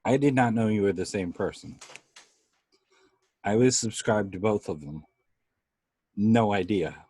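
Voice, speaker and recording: A man hosting a casual interview chat, with a deep bass voice and a halting, filler-heavy way of talking. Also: mellow, slightly rough, dry recording. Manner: halting, many fillers and restarts, laughs often. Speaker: podcast host.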